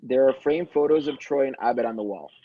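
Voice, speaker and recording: A man's voice: medium pitch, fairly quick. A man reading screenplay stage directions aloud as the narrator of an amateur table read. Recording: dull, roomy home audio.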